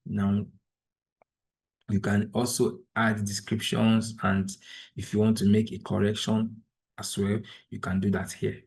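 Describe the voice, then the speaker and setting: A man's voice: on the low side, little pitch movement, a little slow, creaky, a little mellow, a little hiss or hum. A man whose first language is Igbo, from Nigeria, patiently walking through an online tutorial step by step over a video call. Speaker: trainer. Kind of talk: screen-share tutorial.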